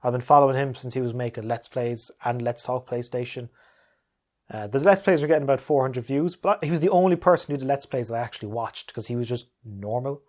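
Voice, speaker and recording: A man's voice: mid-range voice, a little fast, a little mellow. Easygoing man chatting casually. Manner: easygoing, conversational, chuckles often. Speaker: YouTube gaming video creator and host. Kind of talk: casual question-and-answer chat, reading out viewer questions.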